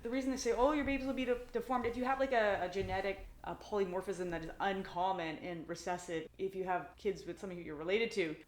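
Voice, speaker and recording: A woman co-hosting a casual book club chat, explaining her points slowly and with thought. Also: medium pitch, fairly even intonation. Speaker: book club podcast co-host.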